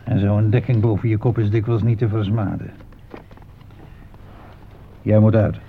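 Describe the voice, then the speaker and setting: Chummy, matter-of-fact frontline soldier for radio play, fairly low, thin noisy old sound. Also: male voice, steady tone, measured pace, gravelly. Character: easygoing male soldier playing cards in a dugout. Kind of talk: radio drama dialogue. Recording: tinny, hissy old radio recording.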